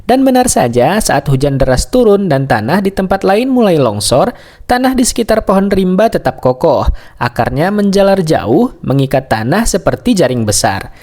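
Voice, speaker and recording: A man's voice: high-pitched, big pitch swings, normal speed, a little mellow. A man narrating a scripted bedtime story for children.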